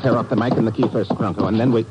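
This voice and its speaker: An actor in a 1950s radio drama playing a sly, fatalistic villainous police inspector, dry, measured and formal. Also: male voice, on the low side, fairly even intonation, close to an everyday voice. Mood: curt, scornful. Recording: dull, very noisy old radio recording.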